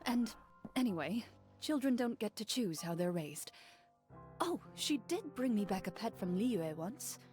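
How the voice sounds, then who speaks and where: A woman voicing a composed young female duelist who speaks in a calm, measured, matter-of-fact way. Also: medium pitch, faint music behind. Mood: reflective. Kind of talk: game cutscene voice acting.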